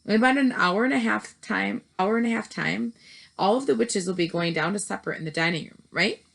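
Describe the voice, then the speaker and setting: A woman's voice: mid-range voice, brisk, gravelly, fairly bright tone, pitched above the performer's own voice. A woman voicing a loving, commanding older grandmother in a storybook read-aloud, speaking emphatically. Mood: urging.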